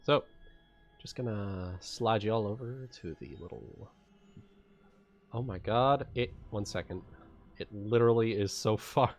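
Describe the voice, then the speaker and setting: A man running a livestreamed tabletop game as game master, narrating casually and haltingly, often repeating his phrases. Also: lowish voice.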